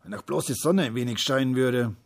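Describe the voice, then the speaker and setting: A man's voice: medium pitch, lively intonation, a little fast, vocal fry, voice pushed up. A man voicing a grumbling adult office worker in a storybook, complaining sourly. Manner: grumbling, sour.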